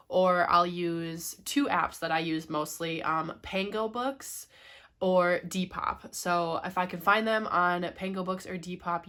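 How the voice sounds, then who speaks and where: A woman's voice: lowish voice, fairly bright tone. A young woman hosting a casual book haul vlog, with a congested, cracky voice from a cold, chatty and laughing often. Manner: chatty, laughs often, frequent ums and likes. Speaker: booktuber host.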